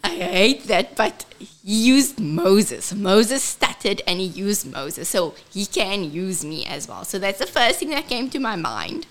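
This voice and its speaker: A South African woman with a squeaky, childlike voice, speaking earnestly as a ministry host and switching between English and Afrikaans. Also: very animated, measured pace. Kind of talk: personal testimony and event announcement.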